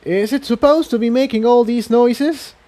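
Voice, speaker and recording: A man's voice: extremely high pitch, moderate pace, warm tone, voice pushed up. A man voicing an anxious pony companion in an adventure story, questioning in an alarmed manner. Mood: anxious, bewildered.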